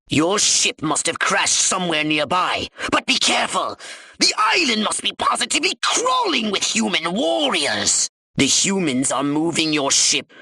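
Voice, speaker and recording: An actor playing a pompous, scheming alien commander in a video game, theatrical and scolding with a raspy voice. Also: male voice, high voice, bright, forceful tone, dry recording, full character voice. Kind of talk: video game character voice lines.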